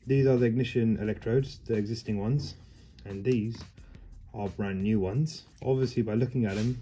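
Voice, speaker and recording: A man with a fairly low, mellow voice talks through a repair as he works indoors in someone's home. He explains in a relaxed, matter-of-fact way, like a tradesman showing his job on camera. A faint hiss sits under the recording. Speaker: heating engineer. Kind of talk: casual how-to demo on the job. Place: indoors in a customer's home, wooden floor.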